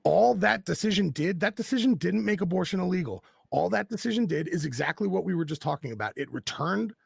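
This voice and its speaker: A man with a very gravelly, husky voice, speaking at a slightly raised pitch. He talks bluntly and with passion, swearing freely. The sound is close and dry. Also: animated, a little fast, dry recording. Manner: blunt and profane, impassioned. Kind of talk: casual political chat.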